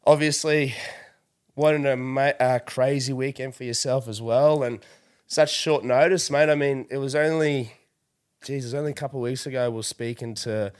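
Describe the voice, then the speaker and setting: Aussie bloke hosting a podcast, bit congested w a cold, friendly rambling chat. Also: male voice, mid-range voice, steady tone, normal speed, smooth. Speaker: podcast host.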